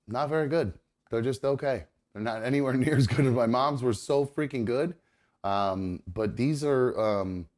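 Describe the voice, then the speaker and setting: A young man hosts a live show with a voice on the low side, stuffed up and gravelly from a cold. He rambles casually, leaning on fillers and restarting his sentences. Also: darkish tone. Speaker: podcast host.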